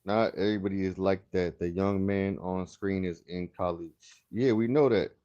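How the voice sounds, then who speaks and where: A man chats on a live stream. He tells stories in an easy, laid-back way, full of slang. His voice is low, and the muffled lo-fi audio dulls it. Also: steady tone, normal speed, warm tone. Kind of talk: casual livestream chat.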